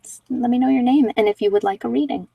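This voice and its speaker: A woman's voice: a little high, fast, creaky, warm tone, breathy edge, no room sound, far from the mic. A woman chatting casually and candidly with live viewers as a livestream host, in an informal, self-deprecating, rambling way. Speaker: livestream host giving card readings.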